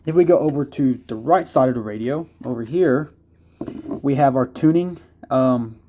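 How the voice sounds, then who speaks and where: A man's voice: medium pitch, moderate pace, dark tone, a little hiss or hum. A man giving a casual, hands-on product review in a rambling, hesitant way with folksy phrasing.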